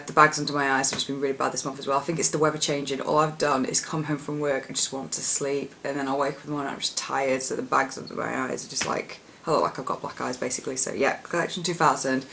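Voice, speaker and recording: A young woman with a husky voice, chatting casually about her favourite products in a rambling, filler-heavy way. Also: very deep voice, level tone, fast talker, hardly any pauses, a bit bright, a little hiss or hum, poor audio. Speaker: beauty vlogger. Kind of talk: casual product chat to camera.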